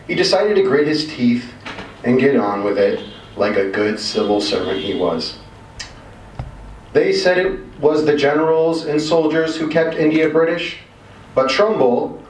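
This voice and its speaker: A man reading a short story aloud expressively, with wry, measured and emphatic delivery. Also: medium pitch. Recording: roomy, distant mic, faint background noise.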